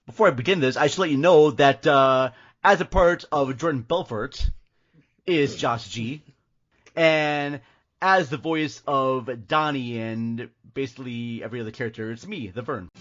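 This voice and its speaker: A man hosting a podcast, chatting casually about films in a rambling, halting way. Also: medium pitch, fairly bright tone. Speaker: podcast host. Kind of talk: casual film discussion. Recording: slightly muffled audio.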